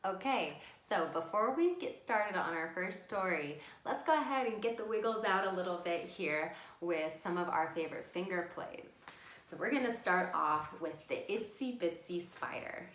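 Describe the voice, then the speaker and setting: A woman's voice: lowish voice, expressive, normal speed, a bit bright. A woman hosting an interactive storytime for young children, encouraging them and giving simple instructions. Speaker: children's library storytime host. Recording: echoey room sound.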